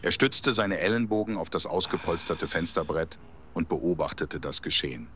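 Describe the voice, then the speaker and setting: A man playing the storyteller of an audio drama, narrating in a grave, measured, dramatic way. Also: low-pitched, over loud music, natural voice. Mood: grave, suspenseful.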